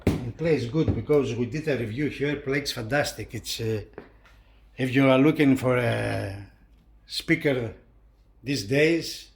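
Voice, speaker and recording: A man presenting a hi-fi review in a casual hands-on style, talking haltingly and repeating phrases as he points things out. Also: mid-range voice. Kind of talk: casual hands-on video commentary.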